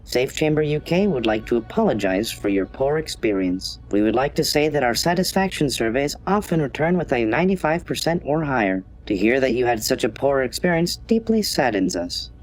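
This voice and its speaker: A man's voice: medium pitch, lively intonation, a little fast, hardly any pauses, natural voice, poor audio. A man voicing a polite but obtuse customer-service AI in an audio drama, formal, scripted and impassive. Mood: politely scripted. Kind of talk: automated assistant in audio drama.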